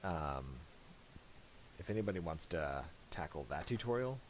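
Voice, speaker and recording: A man narrating a casual software tutorial, thinking aloud in a halting way. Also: low-pitched, expressive. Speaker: tutorial presenter. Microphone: voice-over for a screen recording. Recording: hissy amateur voice-over.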